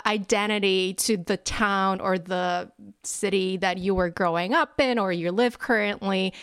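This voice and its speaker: A woman's voice: medium pitch, no echo, clean studio sound. A woman in her thirties, born in Poland, talking as a podcast guest, chatty and laughing often. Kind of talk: conversational interview.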